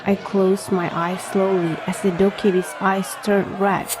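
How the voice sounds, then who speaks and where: A woman narrating an audio drama in the first person as an anxious young protagonist, grave, measured and introspective. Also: medium pitch, level tone, over loud music, close to an everyday voice. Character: anxious young reader, inwardly narrating an apocalypse.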